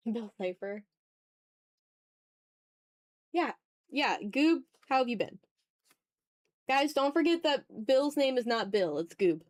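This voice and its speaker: A woman with a fairly high voice hosts an art livestream. She rambles casually, addressing chat as she works. The sound is very dry and close, with hardly any room in it. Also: expressive, slow pace, pauses often, a little mellow, dry recording. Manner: informal, rambling, talking to chat. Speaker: art streamer and ASMR YouTuber hosting a livestream.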